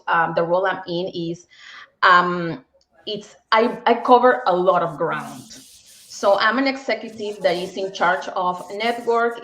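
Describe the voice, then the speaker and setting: A woman's voice: medium pitch, fairly bright tone, roomy sound, rough recording. A woman in her forties from Peru with a Peruvian Spanish accent, a guest speaking upbeat in a casual video interview and often repeating words as she goes. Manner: upbeat, repeats words while finding them. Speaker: guest, bank tech executive.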